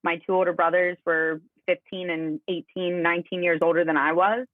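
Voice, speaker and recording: A woman's voice: lowish voice, steady tone, moderate pace, no room sound. A woman chatting casually as a co-host, her voice coming through thin, phone-like audio. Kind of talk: casual chat.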